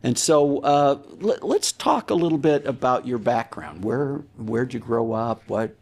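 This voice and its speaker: A man's voice: mid-range voice, expressive, darkish tone. A man hosting a podcast in a casual interview style, often repeating words as he feels his way into a question. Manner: halting, repeats words while forming questions. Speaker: podcast host.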